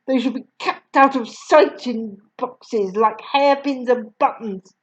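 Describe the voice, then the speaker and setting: A woman's voice: a little high, slow pace, dull audio. A woman playing a barking, bullying headmistress. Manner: barking, snapping, loud. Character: bullying huge female headmistress, shouting.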